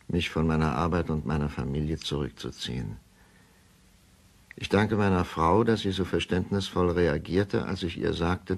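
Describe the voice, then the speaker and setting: An actor playing a middle-aged doctor who gives a measured, formal account in a radio play. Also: male voice, low-pitched, vocal fry. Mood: grave, resigned. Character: weary, measured middle-aged male doctor writing his confession. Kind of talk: German radio play monologue, formal report.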